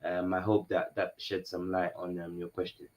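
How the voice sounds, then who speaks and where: A man from Ghana co-hosting a live Q&A stream, answering viewers softly and haltingly with many fillers. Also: low-pitched, level tone. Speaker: co-host.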